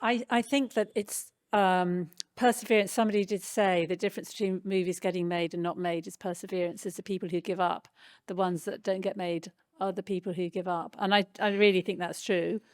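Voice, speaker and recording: A woman's voice: fairly low pitch, steady tone, a little fast, a little mellow, no echo, clean studio sound. A woman answering interview questions in an earnest, reflective way. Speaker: film director and writer.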